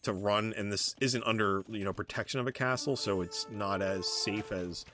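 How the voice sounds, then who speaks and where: Male gamer, low-pitched voice, casual play-by-play, halting and thinking aloud, music in the background. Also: steady tone. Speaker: gamer commentating own match. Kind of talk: casual gameplay commentary of a strategy game.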